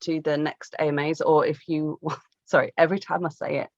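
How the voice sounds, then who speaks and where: A woman's voice: deep voice, little pitch movement, brisk, a little mellow, no echo. A woman co-hosting a podcast in casual chat, wry and playful, often interrupting herself, on a muffled recording. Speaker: podcast co-host moderating questions.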